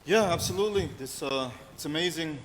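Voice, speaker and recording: A middle-aged man from New York City answering interview questions in an earnest, halting way, full of restarts and "you know"s. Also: fairly high pitch. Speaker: musician interviewed as guest. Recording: long reverb, faint background hiss.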